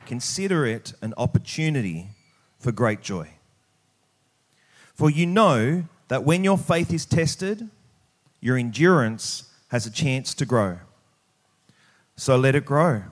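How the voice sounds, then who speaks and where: A middle-aged Australian man preaching at church in an informal, plain-spoken, conversational way. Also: medium pitch. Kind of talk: church sermon with prayer, scripture reading and casual anecdotes.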